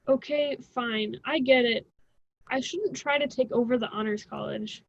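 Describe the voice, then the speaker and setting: A woman's voice: fairly high pitch, unhurried, creaky, dry recording. A young woman playing an impatient, scheming college student with a flippant, casual manner in an amateur radio drama. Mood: grudging. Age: young adult. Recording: dull, dry lo-fi recording.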